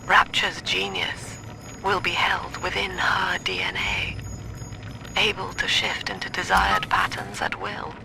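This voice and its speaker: Cold game ideologue, calculating, clinical, breathy creaky voice, formal, music behind. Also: female voice, on the low side, sing-song, slow pace, exaggerated voice, low-quality recording.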